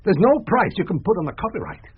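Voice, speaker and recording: An actor playing a greedy, persuasive American press photographer in a radio drama, with a rough, husky voice. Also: male voice, high voice, sing-song, quick pace, full character voice. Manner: wheedling, calls younger man boy. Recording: muffled 1980s radio drama recording.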